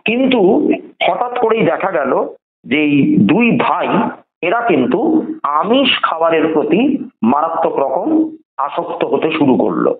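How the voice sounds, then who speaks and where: A man's voice: on the high side, very animated. Bengali man storytelling over a thin phone line. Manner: deliberate, explanatory, repeats phrases for emphasis. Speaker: podcast guest telling a horror story. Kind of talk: conversational oral storytelling. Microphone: phone call. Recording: narrow phone-call line, roomy echo.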